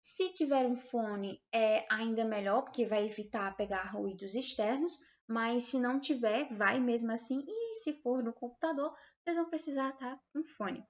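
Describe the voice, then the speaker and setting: Female tutorial instructor, Brazilian accent, higher-pitched, hesitant and explanatory, informal delivery, muffled low-fidelity audio. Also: normal speed, few pauses. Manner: hesitant, explanatory, checks understanding with short tags. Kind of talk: informal software tutorial, screen demonstration.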